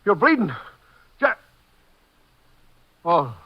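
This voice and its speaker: An actor playing a folksy small-town Texas cafe owner in a 1950s radio drama, with a Texan accent. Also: male voice, high-pitched, sing-song, extremely slow, exaggerated voice. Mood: frantic. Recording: noisy, muffled old-time radio recording.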